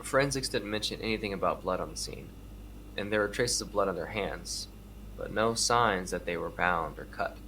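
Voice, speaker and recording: A dogged, low-voiced detective. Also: male voice, normal speed, pushed and bright, a little hiss or hum, rough recording. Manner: probing, matter-of-fact. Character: dogged male police detective, weary and probing. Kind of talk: audio drama acting with narration.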